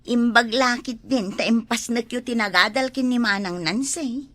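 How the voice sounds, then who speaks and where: A woman's voice: a little high, moderate pace, a bit bright, dry recording, lightly acted. A woman with an Ilocano accent playing an inquisitive village confidante in a comedy drama. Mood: curious, sympathetic.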